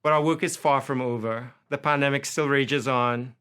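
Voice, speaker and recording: A man's voice: mid-range voice, fairly even intonation, moderate pace, sharp tone, clear, resonant tone. A man with a Trinidadian accent giving a prepared lecture, impassioned and building through long lists. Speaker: university faculty lecturer.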